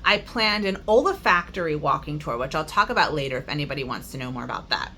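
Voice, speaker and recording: A chatty, extroverted woman tells a story in a casual crafting vlog, her pitch swinging up and down with lively energy. Her voice is bright and forceful. A faint hiss sits under the recording. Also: fast talker. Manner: chatty, lots of fillers and asides. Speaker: crafting vlogger.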